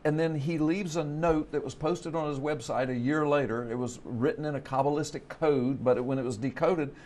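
A middle-aged man from the US Gulf Coast, a pastor and author, telling a story animatedly on a TV studio panel with a lapel mic. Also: mid-range voice. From United States Gulf Coast. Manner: animated storytelling, gesturing with his hands. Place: TV studio set.

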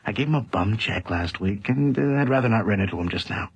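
An actor playing a brash, reckless, joking buddy in a flippant, breezy, naturalistic radio drama style. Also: male voice, fairly low pitch, expressive, fast talker, breathy edge, lo-fi sound. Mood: furtive, hurried. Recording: noisy 1980s radio drama recording.

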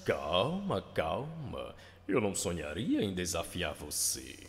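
A sly, bitter cartoon lion villain with Brazilian speech. The voice is fairly low, languid and sardonic, with lively swings, in long reverb. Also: male voice, slow, soft background music, heavily acted. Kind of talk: cartoon fan dub.

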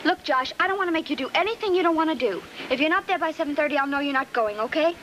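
A woman's voice: sits high, expressive, racing, a bit bright, a little hiss or hum. A young woman playing a frank, impatient teenage girlfriend in a 1950s film, with a direct manner. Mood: impatient, firm. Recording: old film soundtrack with music behind.

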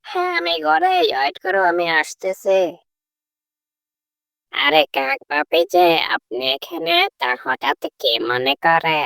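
A woman voicing a chatty, brusque female parrot in a cartoon, colloquial and full of questions and exclamations, with a creaky voice. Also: medium pitch, sing-song, slow pace, a bit bright, dry recording, clean studio sound, exaggerated voice. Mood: greeting. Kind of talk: cartoon character dialogue.

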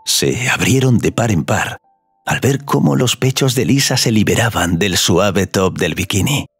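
Very deep, gravelly male narrator. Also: expressive, a little slow, no room sound, soft background music. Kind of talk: scripted story narration.

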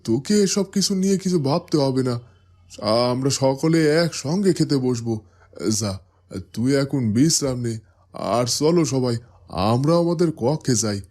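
A man's voice: medium pitch, normal speed, a little mellow, close to an everyday voice. A man playing a protective older father of grown daughters in a fairytale cartoon. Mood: scolding, reassuring. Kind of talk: Bengali fairytale cartoon dubbing.

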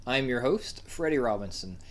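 A man in his twenties hosting a review channel, calmly explaining step by step how to put furniture together. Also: medium pitch, normal speed. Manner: plain, matter-of-fact explaining. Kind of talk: instructional product assembly voice-over.

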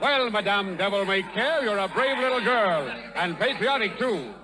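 A man's voice: sits high, expressive, moderate pace, low-quality recording. An actor playing a rowdy, leering male rebel in a 1930s radio drama, coarse and taunting. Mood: jeering. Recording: old radio broadcast, loud crowd behind.

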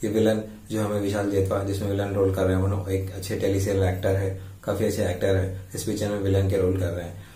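An Indian man in his thirties with a slight cold in his throat, reviewing a film casually and haltingly as a video host. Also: low-pitched, level tone, dark tone, slight background noise. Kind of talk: casual movie review to camera. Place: indoors before a green screen. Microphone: lapel mic. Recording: roomy echo, distant-sounding mic.

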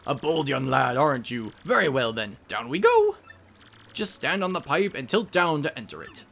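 A man voicing a scholarly, fussy, excitable old professor in a game, speaking in formal, old-fashioned phrases. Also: medium pitch, normal speed, gravelly, slight background noise, exaggerated voice. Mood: cautious.

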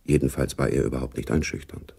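A man's voice: a bass voice, steady tone, quick pace, gravelly, warm tone, husky, full character voice. A man narrating a spy novel in a German radio drama, as a sober literary storyteller. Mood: sober, reflective.

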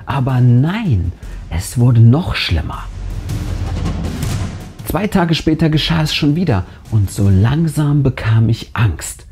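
A man narrates a scripted horror tale with a dramatic storyteller's delivery. His voice is dark and relaxed, yet the pitch moves in a lively way. Faint music plays behind him as he leaves suspenseful pauses. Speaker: narrator and channel host. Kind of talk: scripted narration of a first-person horror story, read aloud. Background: background music.

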